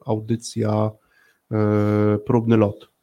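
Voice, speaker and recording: Gawędzący mężczyzna, lekko skrzypiący głos. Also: male voice, on the low side, completely flat delivery, extremely slow, mellow, dull audio. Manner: chatty, repeats phrases for emphasis. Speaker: host of a live talk show with guests. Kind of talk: casual, friendly co-hosted chat.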